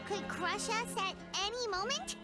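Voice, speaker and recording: A woman voicing a small, childlike, easily alarmed fairy companion in a video game, squeaky and exclamatory, with music behind. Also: expressive, unhurried. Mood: nervous, chatty. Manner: refers to self in third person, exclamatory.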